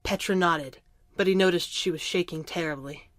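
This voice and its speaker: A woman's voice: fairly low pitch, moderate pace, no room sound. A woman reading scripted story narration for an audio drama.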